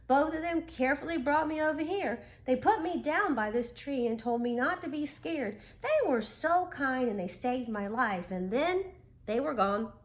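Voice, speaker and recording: Woman doing a timid little turtle kid, high-pitched, voice jumps around. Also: lively intonation, normal speed. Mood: eager, telling. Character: small, frightened young boy turtle.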